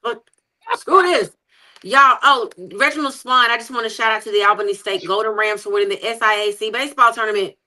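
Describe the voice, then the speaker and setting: A man's voice: high-pitched, steady tone, fairly quick, sharp tone. A man joking playfully in casual show banter, exclaiming and trying on voices.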